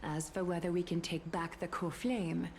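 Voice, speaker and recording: A woman playing a composed, commanding leader in a video game, speaking in a formal, measured and authoritative way. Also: fairly low pitch, fairly even intonation, slight background noise, lightly acted. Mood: formal, resolute. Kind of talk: scripted video game dialogue.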